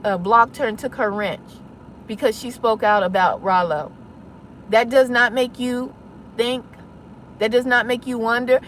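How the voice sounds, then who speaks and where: High-pitched, insistent woman over background noise. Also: fairly bright tone. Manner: insistent, confrontational, rhetorical questioning. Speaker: online content creator. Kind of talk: impassioned public address to viewers.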